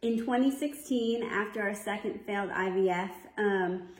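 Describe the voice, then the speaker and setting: A woman's voice: mid-range voice, moderate pace. A woman in her thirties chatting casually on a video blog in a friendly, matter-of-fact way. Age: 30s. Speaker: vlog co-host. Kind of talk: casual chat. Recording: echoey room.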